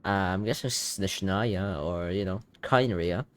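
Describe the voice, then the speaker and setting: A young man giving casual game reaction commentary, excitable and thinking aloud. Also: low voice, measured pace. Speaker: gaming YouTuber. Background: game music.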